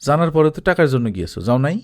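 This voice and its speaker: A man voicing a wealthy, shady village trader in an audiobook story, brazen, calm and domineering, talking colloquially and justifying himself with rhetorical questions. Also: fairly high pitch, racing, natural voice. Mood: persuasive, self-assured.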